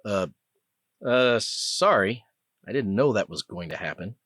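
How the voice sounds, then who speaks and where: A man's voice: on the low side, expressive, unhurried, a bit bright, no echo, studio quality. A man voicing a flustered, earnest young male soldier in an audiobook.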